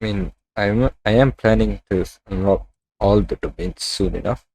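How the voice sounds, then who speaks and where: A man's voice: fairly low pitch, normal speed, a little mellow, a little hiss or hum, poor audio. A man giving casual live commentary as he streams a video game.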